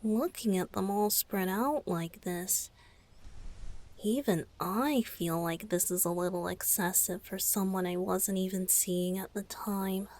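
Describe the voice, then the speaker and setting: A woman voicing a shy, flustered boyish lover in an intimate ASMR romantic roleplay, speaking softly and breathily with hesitant, halting phrasing. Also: medium pitch, close to an everyday voice. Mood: embarrassed, soft. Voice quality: soft, breathy, often whispered.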